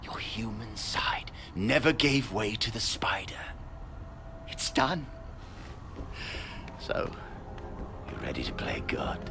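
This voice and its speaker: An actor playing an intense, solemn scientist in a dramatic video game scene. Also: male voice, lowish voice, sing-song, takes it slowly, pauses often, bright, forceful tone, in a whisper, exaggerated voice. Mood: intense, hushed awe. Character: intense, solemn male scientist revealing a discovery. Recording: clear music behind the voice. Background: game soundtrack music.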